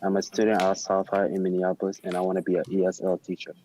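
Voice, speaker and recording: A man's voice: deep voice, completely flat delivery, quick pace, mellow, poor audio. A teenage boy, a native Arabic speaker, reads his prepared testimony earnestly and carefully over a remote call, with small restarts. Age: teens. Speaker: student testifier.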